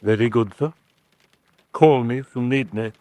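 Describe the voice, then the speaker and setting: A man's voice: medium pitch, moderate pace, dark tone, no room sound, close to an everyday voice. A faithful male servant, played by a man in a radio mystery. He has an ordinary mid-range voice and talks in a plain, deferential way, every bit the household valet. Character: loyal, deferential manservant, familiar with his sweetheart. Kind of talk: radio drama acting.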